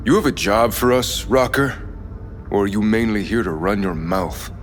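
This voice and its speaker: Man playing a very deep, shrewd mercenary. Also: animated, moderate pace, faint music behind, close to an everyday voice. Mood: dry challenge. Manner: dry, businesslike, assertive. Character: cool, shrewd, imposing male mercenary doing business. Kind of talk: video game drama acting.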